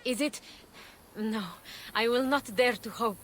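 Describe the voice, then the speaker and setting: A woman playing a composed, gentle healer of the ancient world, speaking in a measured, earnest way in a video game. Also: on the high side, a little hiss or hum. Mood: hopeful. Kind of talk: video game cutscene acting.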